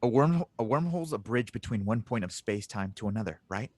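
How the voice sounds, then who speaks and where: A man's voice: on the low side, animated, fast, darkish tone, dry recording. A man playing an earnest, stubborn young inventor in a space audio drama, urgent and insistent. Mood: determined. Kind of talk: audio drama table read.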